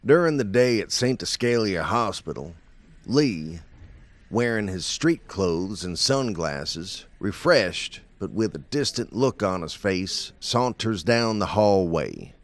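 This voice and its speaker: A man's voice: fairly low pitch, animated, slow pace. A man reading scripted narration for an audio drama.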